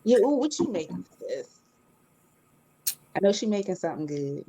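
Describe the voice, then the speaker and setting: A woman's voice: medium pitch, sing-song, moderate pace, warm tone, husky. A woman co-hosting a casual live spiritual chat, laid-back and slangy in how she talks.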